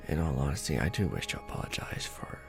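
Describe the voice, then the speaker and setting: A man playing a courtly, protective, brooding vampire lord in a soft, formal ASMR romantic roleplay. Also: very deep voice, big pitch swings, vocal fry, music in the background, full character voice. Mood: soothing, protective. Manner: formal, archaic wording, slow and hushed.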